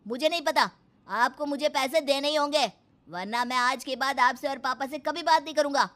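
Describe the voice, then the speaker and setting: A woman's voice: high voice, moderate pace, fairly bright tone. A woman voicing a spoiled, rude, demanding schoolboy in a cartoon story, with an insolent, whiny manner. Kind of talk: Hindi cartoon story acting.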